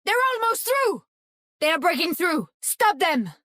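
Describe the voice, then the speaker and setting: A woman's voice: extremely high pitch, sing-song, a little slow, sharp tone, no room sound, studio quality, exaggerated voice. A woman playing a cold, stern hunter defending a gate in a video game, dramatic and commanding. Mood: urgent, commanding.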